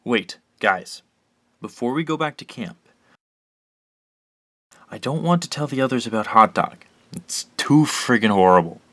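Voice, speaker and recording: A man's voice: mid-range voice, lively intonation, a little slow, long pauses, a bit bright, no echo. A man playing an earnest, anxious young leader of survivors in an audio drama. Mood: anxious, troubled.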